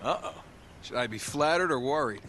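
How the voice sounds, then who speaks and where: An actor playing a quick-witted, wary treasure hunter in his thirties with an American accent and a sarcastic, casual delivery in a video game. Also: medium pitch, animated, pushed and bright, a little hiss or hum. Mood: wary, teasing. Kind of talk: cinematic video game acting. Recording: music under the dialogue. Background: background music.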